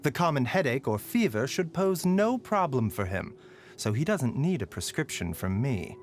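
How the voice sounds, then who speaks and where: A man's voice: mid-range voice, big pitch swings, natural voice. Man playing a courteous game pharmacist, lilting voice. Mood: measured, courteous. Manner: polite, measured, mildly teasing phrasing. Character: soft-spoken, courteous male pharmacist, gently wry and weary. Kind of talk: video game character voicelines. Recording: voice over background music.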